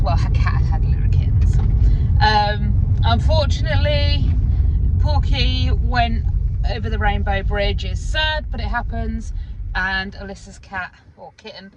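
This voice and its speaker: A woman in her forties, vlogging in a car, chatty and excitable, rambling as she talks. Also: mid-range voice. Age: 40s. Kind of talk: casual chat to camera. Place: inside a car. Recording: muffled with loud road noise.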